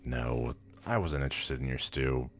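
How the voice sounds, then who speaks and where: A man's voice: rumbling low, moderate pace, a little hiss or hum. A man playing a powerful demon lord in an ASMR audio roleplay, pompous, condescending and theatrical. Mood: lofty, instructive. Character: haughty, powerful male demon lord, bored and amused.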